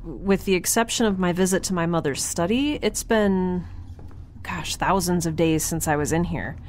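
A woman playing a nervous, wry young scientist who narrates a field log in a halting, conversational way for an audio drama. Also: lowish voice, darkish tone, slight background noise, natural voice. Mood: uneasy, observant. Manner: halting, self-interrupting, conversational.

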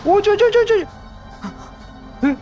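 A man giving casual, chatty game commentary, exclaiming and reacting out loud. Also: very high-pitched, animated, quick pace, faint music behind. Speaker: gaming commentator.

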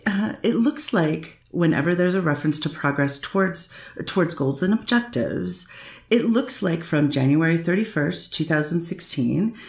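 A woman's voice: fairly low pitch, expressive, moderate pace, gravelly. A woman attorney cross-examining a witness in a courtroom, pressing with leading questions. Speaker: defense attorney. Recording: echoey courtroom recording.

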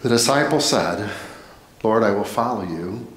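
A middle-aged American man preaching a sermon as a pastor, earnest and deliberate in his phrasing. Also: lowish voice, expressive, a bit bright. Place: indoor church, at a pulpit. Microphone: headset microphone. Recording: echoey church hall with long reverb.

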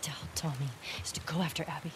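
A woman's voice: deep voice, fairly even intonation, quick pace, background noise, close to an everyday voice. An actress playing a stubborn, troubled young female survivor in a naturalistic video game scene. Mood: firm, frustrated. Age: young adult. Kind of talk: naturalistic game cutscene acting.

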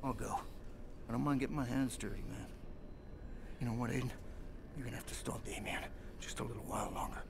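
An actor playing an animated, slangy, eccentric hacker sidekick in a video game. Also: male voice, mid-range voice, fairly quick, vocal fry, long pauses, background noise, lightly acted. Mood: wry. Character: excitable, foul-mouthed, eccentric hacker sidekick man.

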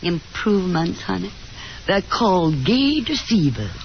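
A woman's voice: lowish voice, very animated, a little slow, slight background noise. An actress playing a fussy, domineering middle-aged mother in a 1950s radio drama, coaxing and insistent. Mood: brisk, insistent. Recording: muffled old 1950s radio broadcast with music.

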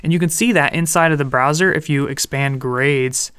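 A young man explaining programming in a relaxed, friendly way over a screen recording, with light jokes. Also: on the high side, moderate pace, clean tone, dry recording. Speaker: programming instructor. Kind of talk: screen-recorded coding tutorial.

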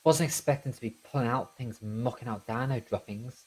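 An actor playing a hapless, complaining dinosaur park worker in a casual manner in an audio drama. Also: male voice, mid-range voice, normal speed, slightly rough, lightly acted, rough recording. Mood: grumbling.

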